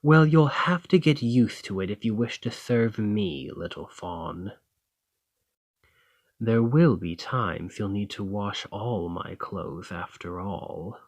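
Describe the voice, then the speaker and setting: A man acting a commanding, low-voiced fantasy warrior lord. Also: lively intonation, takes it slowly, dry recording, heavily acted. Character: dominant Nordic fantasy thane, teasing, confident warrior lord. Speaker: roleplay audio performer. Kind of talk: ASMR fantasy roleplay acting, addressing the listener.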